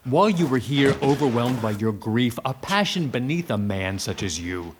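An actor playing a scheming, two-faced soldier villain in a Shakespearean tragedy, speaking in an insinuating, urgent, theatrical manner. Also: male voice, medium pitch, sing-song, moderate pace, slight background noise, lightly acted. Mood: coaxing, goading. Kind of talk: Shakespearean tragedy acting in modernized English.

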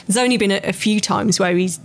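Calm English woman chatting football. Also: mid-range voice. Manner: calm, measured, lots of ums and restarts. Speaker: podcast co-host. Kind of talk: casual chat.

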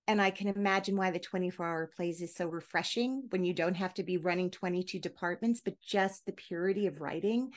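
A woman hosting a conversational interview, speaking earnestly and with emphasis as she builds long, winding questions. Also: medium pitch. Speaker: podcast host interviewing guests.

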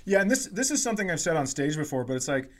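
Slightly high-pitched man telling comic stories. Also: very animated, very fast, a bit bright. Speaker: comedy podcast host. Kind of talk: casual comic storytelling.

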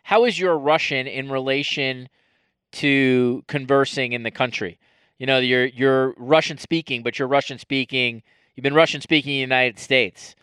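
A man interviewing a guest, asking questions haltingly and restarting them. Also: medium pitch, steady tone, a bit bright, clear, resonant tone, dry recording, studio quality.

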